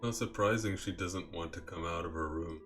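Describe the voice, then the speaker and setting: A man voicing a calm, polite teenage boy in an amateur read-through of a video game, restrained and even-tempered. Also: sits low, normal speed, faint music behind. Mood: concerned. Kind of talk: amateur voiced video game dialogue reading.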